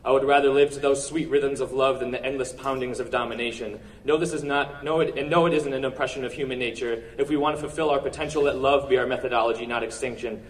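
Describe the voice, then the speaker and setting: A man performing spoken word poetry at a live open-mic event, with earnest, rhetorical delivery and deliberate pauses. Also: medium pitch, fairly even intonation, distant mic. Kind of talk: poetry recital, slam-style spoken word.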